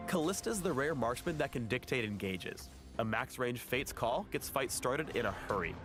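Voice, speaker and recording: A man narrates a scripted game guide with animated, swinging intonation. Music plays clearly behind his mid-pitched voice. His delivery is polished and instructional, with a light quip here and there. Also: moderate pace. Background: background music.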